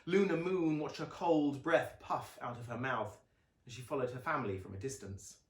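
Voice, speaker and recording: A man reading children's fiction aloud as a dramatic, expressive narrator with a deliberate delivery. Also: mid-range voice, reverberant.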